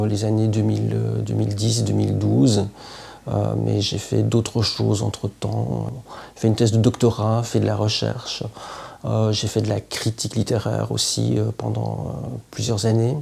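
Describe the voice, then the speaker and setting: A man, a poet and literature teacher speaking to camera in an interview, reflective and halting with frequent hesitations. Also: fairly low pitch, gravelly, mellow, husky. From Belgium. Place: indoor room with plants, at home.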